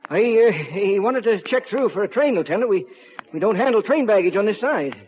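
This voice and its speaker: An actor playing a nervous, frightened clerk, stammering and jittery, in a 1950s radio drama. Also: male voice, high voice, animated, fairly quick, husky. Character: nervous, frightened male parcel room clerk. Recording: muffled old radio recording.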